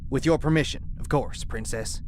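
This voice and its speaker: A man's voice: mid-range voice, normal speed, natural voice. A man playing a stern, steadfast resistance commander with a firm, authoritative delivery. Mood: businesslike. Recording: faint background noise.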